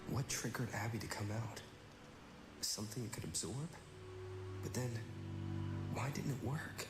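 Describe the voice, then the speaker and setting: Actor voicing male game adventurer, puzzled, medium pitch, roomy echo, loud music. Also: measured pace, lightly acted. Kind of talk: video game voice acting.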